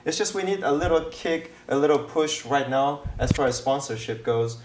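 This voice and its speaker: Brief: male talk-show guest, earnest and halting, roomy echoey sound, faint hiss. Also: on the high side, animated. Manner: earnest, hesitant, many fillers and restarts. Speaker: filmmaker guest. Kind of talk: casual TV interview.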